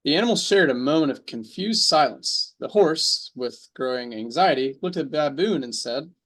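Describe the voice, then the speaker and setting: A man narrating an animal fable in a measured storytelling voice at a radio drama table read. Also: a little high, expressive, fairly bright tone.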